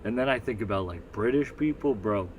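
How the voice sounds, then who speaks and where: A man's voice: on the low side, animated, a little fast, a little mellow, slight background noise, low-quality recording. A man with a Northeastern US accent rambling through a casual solo livestream, swearing freely and reading the chat aloud. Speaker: livestream host.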